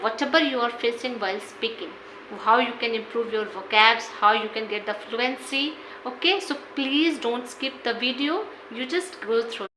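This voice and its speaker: Woman, channel host, upbeat, bright tone, echoey room, faint background noise. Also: fairly high pitch. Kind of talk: video introduction.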